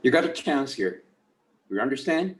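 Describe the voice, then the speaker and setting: A man playing a stern, world-weary old Mexican grandfather with a measured, admonishing manner in a screenplay table read. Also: mid-range voice, animated, natural voice. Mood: stern, forgiving.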